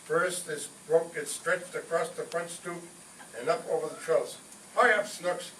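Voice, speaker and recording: A man's voice: on the high side, normal speed, a bit bright, natural voice. A man playing a hot-headed, scheming father in an old-time radio comedy, pompous, indignant and theatrical. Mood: vengeful, bossy. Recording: roomy distant stage recording, faint noise.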